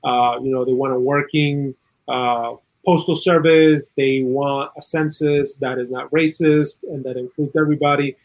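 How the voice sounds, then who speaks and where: A man from South America giving earnest, opinionated political commentary in a conversational way, with a husky voice and plenty of "you know". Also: medium pitch, little pitch movement, moderate pace, low-quality recording. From South America, Chile. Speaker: interview guest, political and legal commentator.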